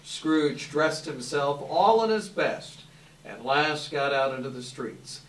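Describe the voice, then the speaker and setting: A man's voice: on the high side, takes it slowly, a bit bright. A man narrating a scripted story for a live readers theater. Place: live event hall. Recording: echoey hall, distant microphone.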